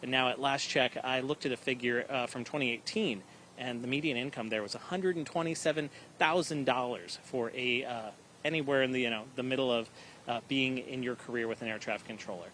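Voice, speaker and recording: A man's voice: medium pitch, a little fast, fairly bright tone. Guy doing a live news report from an airport, punches his words, background noise.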